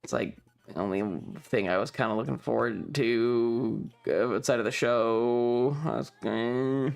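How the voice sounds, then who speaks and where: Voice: medium pitch, fairly even intonation, unhurried, gravelly, a little mellow. A streamer of ambiguous gender telling a casual, rambling, exasperated story in a slightly worn-out voice. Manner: rambling, exasperated, acts out quoted dialogue. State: voice slightly going. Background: background music.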